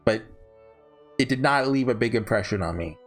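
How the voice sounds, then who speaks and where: A man hosting a casual, unscripted ranking video, talking in a rambling, halting way and often correcting himself. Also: mid-range voice, expressive, soft background music. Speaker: video host ranking visual novels. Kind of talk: casual unscripted tier-list commentary.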